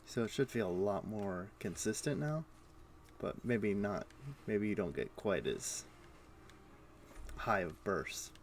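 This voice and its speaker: A man's voice: on the low side, lively intonation, slow pace, pauses often. A man livestreaming a game, chatting casually and muttering his thoughts aloud in short fragments. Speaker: game streamer. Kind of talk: casual livestream chat, thinking aloud while gaming. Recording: faint keyboard typing and clicking noise. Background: computer keyboard typing and mouse clicks.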